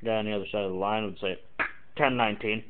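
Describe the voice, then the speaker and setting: A man giving an informal, halting tutorial, thinking aloud as he explains and demonstrates example radio calls. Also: fairly low pitch, steady tone, a little hiss or hum. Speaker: amateur CB radio tutorial maker. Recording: muffled, tinny phone-like lo-fi audio.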